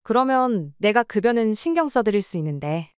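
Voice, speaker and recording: A woman's voice: medium pitch, lively intonation, moderate pace, a little mellow, clean tone, no echo, clean studio sound, natural voice. A woman playing an exasperated wife who runs a restaurant, arguing with her husband and in-laws. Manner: pleading, then sharply arguing. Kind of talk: radio drama acting.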